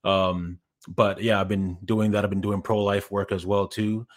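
A man in his thirties, from Ghana, talking as a podcast guest in a relaxed, halting way with many fillers and restarts. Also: lowish voice. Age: 30s. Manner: hesitant, many fillers and restarts, laughs easily. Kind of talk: casual interview chat.

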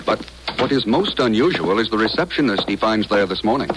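An actor as a dramatic announcer narrating a 1950s radio spy adventure. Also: male voice, fairly low pitch, lively intonation, a little fast, heavy background noise, lightly acted. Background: orchestra music.

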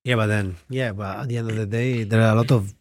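A man co-hosting a podcast in casual chat, often pausing and searching for words. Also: fairly low pitch, fairly even intonation, dry recording, clean studio sound. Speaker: podcast co-host.

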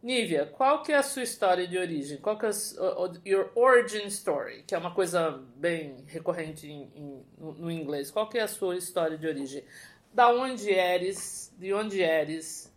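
A middle-aged Brazilian woman hosting a casual interview, quick to exclaim with delight. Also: lowish voice, animated. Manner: warm, effusive, exclaims often. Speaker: podcast host.

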